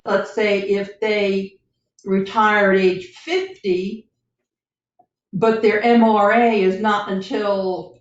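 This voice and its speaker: A woman speaks as an advisor on a podcast, explaining things point by point with a halting delivery. Her voice is mid-pitched. It comes from some distance off the microphone in an echoey room. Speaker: financial advisor guest on a podcast. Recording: roomy, distant, dull lo-fi microphone.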